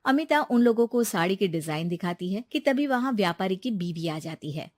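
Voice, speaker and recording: A woman narrating a cartoon story in an even, measured way on a close studio mic. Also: medium pitch, dry recording.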